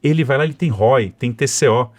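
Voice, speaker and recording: Fast-talking Brazilian man, dry studio sound. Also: mid-range voice, no echo. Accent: Brazilian Portuguese, São Paulo. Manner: fluent, conversational storytelling with frequent "né" tags. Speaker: cybersecurity executive and guest. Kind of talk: podcast interview.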